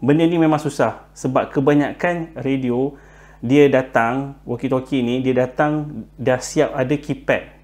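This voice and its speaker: A man with a Malaysian accent hosts an informal how-to explainer in a mid-pitched voice. He slips English words into his speech. His delivery is unpolished, with frequent hesitant fillers as he works through his points. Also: fairly even intonation, a little slow, darkish tone. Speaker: YouTube channel host giving buying tips.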